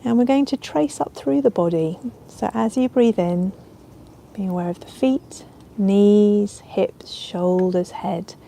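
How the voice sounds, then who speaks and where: A woman leading a guided relaxation as a yoga nidra teacher, speaking in a soothing, unhurried, gentle way with ambient sound around her. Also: medium pitch, vocal fry, a little hiss or hum, poor audio.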